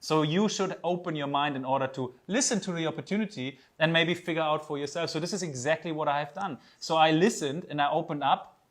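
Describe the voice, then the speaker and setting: German-accented man pitching with enthusiasm. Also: a little fast, hardly any pauses, a bit bright. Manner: enthusiastic, persuasive, non-native phrasing. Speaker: webinar co-host. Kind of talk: promotional webinar talk.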